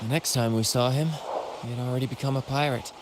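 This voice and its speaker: An actor playing a flamboyant wandering bard in a video game, silver-tongued, teasing and theatrical. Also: male voice, medium pitch, steady tone, fast talker, heavy background noise, lightly acted. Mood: reflective. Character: flamboyant male wandering bard, playfully smug pirate-crew member.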